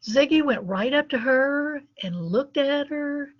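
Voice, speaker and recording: A woman's voice: high voice, animated, measured pace, no room sound, lo-fi sound. A middle-aged woman, a dog breeder, telling anecdotes casually in an interview. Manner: chatty, anecdotal, laughs between stories.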